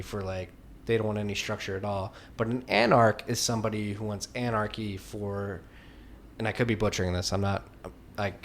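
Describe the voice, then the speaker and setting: Laid-back young man, low voice. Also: a bit bright, slight background noise. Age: 20s. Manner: loose, rambling, heavy use of "like". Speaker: podcast host. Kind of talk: casual conversational interview.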